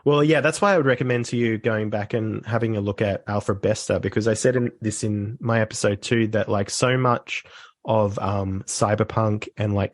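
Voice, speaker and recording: Male podcast guest, easygoing talker, lowish voice, dry close mic. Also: dry recording. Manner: relaxed, chatty, laughs easily. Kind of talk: casual chat about books.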